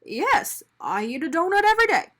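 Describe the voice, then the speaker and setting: A woman's voice: extremely high pitch, very animated, moderate pace, fairly bright tone, pitched above the performer's own voice, exaggerated voice. A woman voicing a flustered, sheepish man in a children's storybook, hesitant and stammering. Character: flustered, sheepish man caught out by his doughnut.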